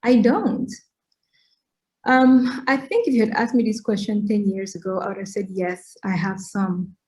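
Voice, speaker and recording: A middle-aged woman from Cameroon speaks with a mellow, warm tone. She is earnest and reflective as she gives advice, and her points often end in a quick "right?". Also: mid-range voice. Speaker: interviewee. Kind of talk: conversational Q&A talk, advice-giving.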